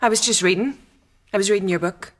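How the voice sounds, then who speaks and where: A woman's voice: mid-range voice, quick pace, lightly acted. An actress playing a cool, mocking, clever young upper-class woman in a radio drama, with an arch, self-possessed manner. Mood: casual, coy.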